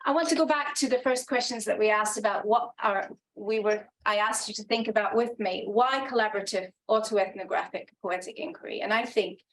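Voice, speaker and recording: A woman's voice: medium pitch, fairly quick, few pauses, a bit bright, rough recording. A woman researcher giving a conference talk, earnest and reflective, with frequent restarts and filler sounds. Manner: hesitant, many restarts and ums. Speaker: academic researcher presenting.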